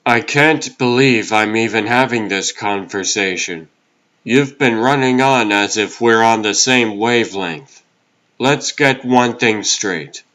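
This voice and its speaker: A man's voice: fairly low pitch, takes it slowly, fairly bright tone. A man playing a defiant, plainspoken superhero in a comic dub, with a dry, firm, unimpressed delivery. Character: defiant, wary adult superhero, plainspoken and stern.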